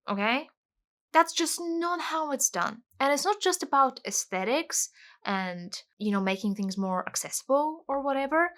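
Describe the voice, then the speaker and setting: A woman's voice: sits high, sharp tone. A woman from Bulgaria, ranting animatedly and emphatically as a casual book vlogger. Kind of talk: casual book review rant.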